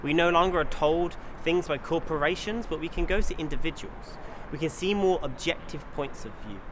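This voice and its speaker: A man's voice: fairly high pitch, normal speed, background noise. A man giving an earnest scripted documentary narration, often posing rhetorical questions. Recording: loud music behind voice. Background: loud music.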